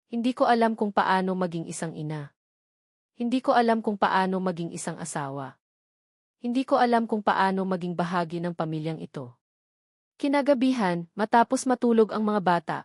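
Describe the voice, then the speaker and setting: A woman's voice: mid-range voice, a little mellow, no room sound. Woman narrating melodrama, very dry sound. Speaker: audio drama narrator. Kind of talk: dramatic scripted first-person narration of a love story.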